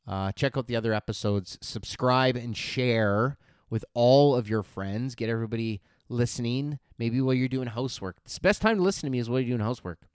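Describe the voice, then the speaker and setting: A man's voice: mid-range voice, brisk, clear, resonant tone, no room sound, studio quality. A man hosting a fitness podcast and livestream, talking loosely and encouragingly, with many fillers and restarts. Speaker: podcast host and fitness coach. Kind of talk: casual podcast and livestream wrap-up.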